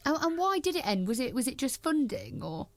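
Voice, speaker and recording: A woman's voice: sits high, very animated, fairly quick, dry recording. Woman radio presenter, close dry sound. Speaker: radio presenter interviewing guests. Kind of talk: local radio sports interview.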